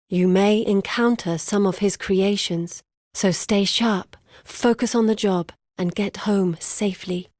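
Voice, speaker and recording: Casting: actress as guarded female scholar-explorer for a fantasy game; serious, articulate, measured, close dry studio sound. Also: mid-range voice, darkish tone, no room sound, lightly acted. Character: guarded, earnest woman scholar-explorer guiding an adventurer. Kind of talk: scripted video game voice acting.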